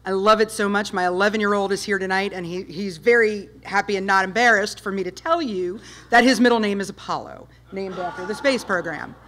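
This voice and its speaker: A woman in her fifties giving an enthusiastic public talk with slides, loud and emphatic, firing rhetorical questions at the audience. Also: medium pitch, a little fast, few pauses, slight background noise. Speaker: guest speaker, space history presenter. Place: dark lecture hall with projector screen.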